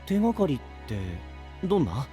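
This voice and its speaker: Easygoing, expressive young knight. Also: male voice, a little high, moderate pace. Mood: thoughtful. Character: easygoing, kindly, thoughtful young man knight. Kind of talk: Japanese anime-style voice drama. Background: background music.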